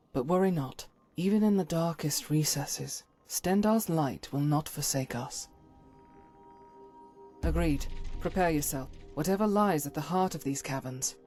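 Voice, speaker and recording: A woman's voice: low-pitched, darkish tone. A woman playing a devout, resolute holy warrior in a fantasy game, speaking in formal, earnest phrases over music. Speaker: companion character in a game mod. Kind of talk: fantasy game character acting.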